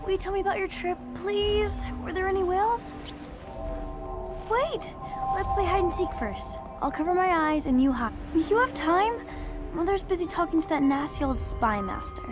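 A woman voicing an eager, playful young girl in a video game, bright and childlike in manner. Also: very high voice, lively intonation, normal speed. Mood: excited, playful. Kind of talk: scripted game dialogue. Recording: voice under loud music.

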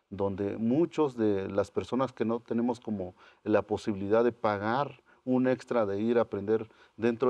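Soft-spoken young man, low voice. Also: dry recording. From Oaxaca, Mexico. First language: Mazatec. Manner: calm, searching, with many fillers. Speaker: guest artist. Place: indoor TV studio set. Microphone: lapel.

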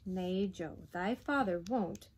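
A woman's voice: mid-range voice, slow, breathy edge. A woman voicing a weary, exasperated working-class mother in a story, firm but patient, using old dialect words. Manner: plain dialect speech with thee and thy.

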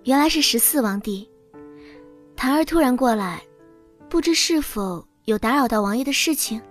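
An actress playing a demure young princess consort in an audio drama, speaking with formal deference. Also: female voice, sits high, animated, moderate pace, soft background music. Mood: meek, courteous.

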